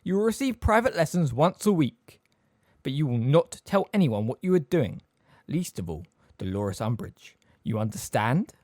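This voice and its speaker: Someone doing a sneery silky teacher guy from a storybook, waspish and smooth, lifts his pitch to taunt. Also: very animated, darkish tone, dry recording, studio quality, lightly acted. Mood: smooth, cold. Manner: sarcastic, smooth, measured, stressing words delicately.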